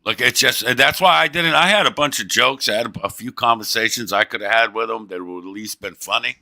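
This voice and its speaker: A man's voice: medium pitch, brisk, creaky, sharp tone. A man hosting a livestream, teasing and profane in crude casual banter, with a drawl. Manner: brash, profane, teasing, laughs a lot. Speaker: livestream host.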